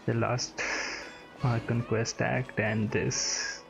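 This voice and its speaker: A woman's voice: a bass voice, level tone, slow pace, vocal fry. A woman giving casual, off-the-cuff reactions as she plays a game. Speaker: game streamer. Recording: dull, band-limited mic. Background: soft game music.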